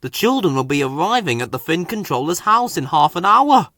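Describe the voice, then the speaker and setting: A man's voice: on the high side, big pitch swings, brisk, pushed and bright, dry recording, clean studio sound. A man reading a scripted children's story as its narrator.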